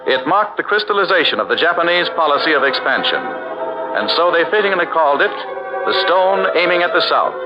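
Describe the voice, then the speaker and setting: Guy narrating an old 40s radio doc, lively formal delivery, muffled. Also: fairly high pitch, brisk. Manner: formal, declamatory. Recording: dull old radio broadcast with faint music.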